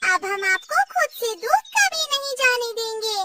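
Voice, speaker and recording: Woman doing a little girl cartoon bird, super high and slow, earnest n sweetly devoted. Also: big pitch swings, sharp tone, heavily acted. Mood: relieved, loving. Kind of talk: cartoon story voice acting.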